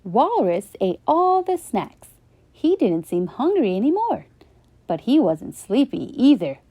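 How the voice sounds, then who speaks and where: A woman reading a children's bedtime story aloud, gentle and expressive. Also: fairly high pitch, a little slow.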